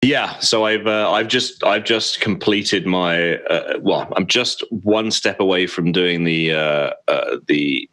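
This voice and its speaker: A man appearing as a podcast guest, talking casually about a game in a halting way with many fillers. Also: low voice, fairly bright tone, no room sound. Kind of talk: casual gaming chat.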